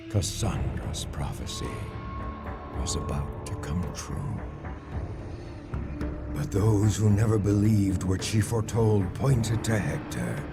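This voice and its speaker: A solemn storyteller of ancient legend narrates a game's tale in a deep voice. He takes his time, measured and dramatic, over loud music. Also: heavily acted. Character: grave male storyteller recounting the Trojan War.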